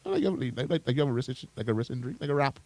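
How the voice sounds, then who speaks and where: A man's voice: medium pitch, very animated, rapid-fire, gravelly, a little mellow, slight background noise. A man in his thirties co-hosting a morning radio show in casual banter. He laughs a lot and stumbles over repeated words. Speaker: radio co-host.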